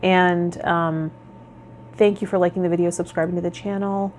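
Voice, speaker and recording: A woman hosting a tarot vlog, speaking in a measured, instructive way as a spiritual guide. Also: lowish voice, level tone, a little mellow, slight background noise. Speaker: host of a tarot and spiritual healing channel. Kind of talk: tarot vlog, part read-out guidance, part informal talk.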